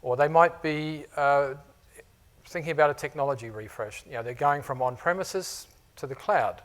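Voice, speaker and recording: A man's voice: a little high, moderate pace. New Zealand man presenting casually. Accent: New Zealand, mixed with Australian. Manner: conversational, many fillers like "you know" and "uh". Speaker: technology architect presenting to customers. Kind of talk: conference presentation. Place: conference room before a live audience.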